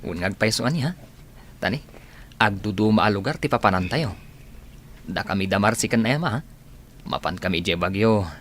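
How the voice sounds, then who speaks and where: A man's voice: fairly low pitch, expressive, moderate pace, long pauses, a bit bright, a little hiss or hum. A man playing a sincere, concerned young friend in an Ilocano radio drama.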